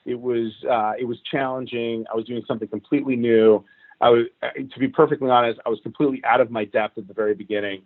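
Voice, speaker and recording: A man from Cuba, a guest in an interview, telling how his career went in an animated, casual way. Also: medium pitch, quick pace, dry recording. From Cuban. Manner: animated, recounts events at length. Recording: tinny phone-like line, dry.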